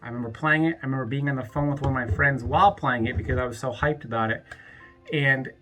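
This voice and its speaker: Bright-voiced man in his thirties rambling. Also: medium pitch, soft background music. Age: 30s. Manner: rambling, halting, with many restarts; rises into an angry, profane rant. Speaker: YouTuber. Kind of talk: reflective birthday vlog monologue.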